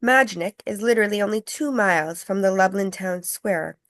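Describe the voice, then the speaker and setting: A woman acting a polite, earnest tour guide, measured and explanatory, in a film script read. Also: mid-range voice, dry recording, clean studio sound, lightly acted. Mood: solemn, earnest.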